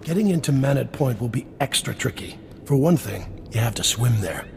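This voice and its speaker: Crime boss, gravelly creak, gruff, wry, world-weary, game cutscene dialogue, loud backing music. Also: male voice, mid-range voice, expressive, normal speed. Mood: businesslike. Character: gruff, harried male underworld boss, hearty eater. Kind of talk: scripted video game acting.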